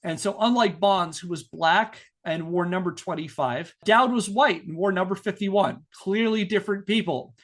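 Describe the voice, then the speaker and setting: A man's voice: high-pitched, normal speed, few pauses, a bit bright. A man hosting a podcast, telling a story in a playful, mock-serious way with casual banter.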